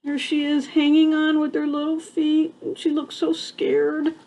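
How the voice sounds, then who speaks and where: An older woman reading a picture book aloud to a child, expressive, with casual asides and exclamations. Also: high-pitched, unhurried, low-quality recording.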